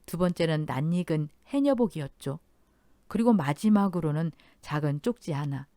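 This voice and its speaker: A woman narrating a scripted audio drama in the first person, calm and measured. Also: low-pitched, no echo, clean studio sound. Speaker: storyteller.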